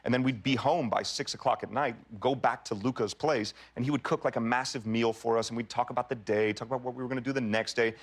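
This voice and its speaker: Fast-talking man telling anecdotes. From Cayman Islands. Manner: animated storyteller, acts out quotes in higher voices. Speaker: guest actor. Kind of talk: casual talk show anecdotes.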